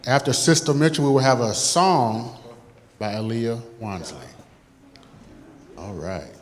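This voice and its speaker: A man's voice: mid-range voice, expressive, measured pace. A man acting as emcee at a live program, announcing the next performers. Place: large church hall. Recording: echoey hall, distant, crowd sounds.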